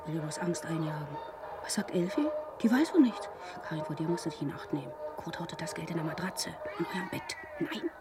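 An actress playing a brash, nagging middle-aged East Berlin housewife in a grotesque radio play, sharp-tongued and bickering. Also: female voice, lively intonation, brisk. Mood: agitated. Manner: sharp-tongued, bickering, swinging from hushed to shrill. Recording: music behind the voice.

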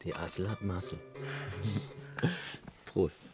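Drinking-buddy role: low, casual, jovial man in an audio drama with music. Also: sing-song, takes it slowly, full character voice. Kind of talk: casual radio play dialogue.

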